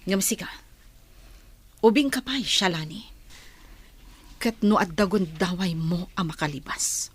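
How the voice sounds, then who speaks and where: A woman's voice: on the low side, animated, unhurried, pauses often, breathy edge. A woman with an Ilocano accent playing a grave, cautioning older-sister rebel in a radio drama, earnest and admonishing. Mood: grave, worried.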